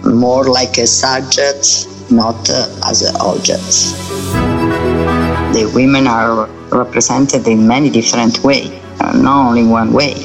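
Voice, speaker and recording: Man, gravelly voice, halting speech, music underneath. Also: medium pitch, flat delivery, rough recording. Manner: slow, halting, with fillers.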